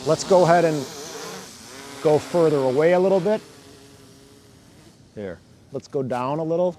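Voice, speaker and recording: A man's voice: fairly high pitch, moderate pace, noisy background. A man hosting a casual hands-on demo outdoors by a fountain, thinking aloud and laughing often as he goes. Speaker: drone channel host. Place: outdoors by a fountain, cold weather.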